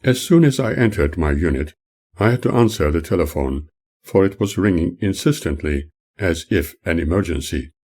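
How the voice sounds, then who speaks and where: An older man, the author, reading his own memoir aloud as audiobook narration in a measured, formal manner. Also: sits low, lively intonation, darkish tone.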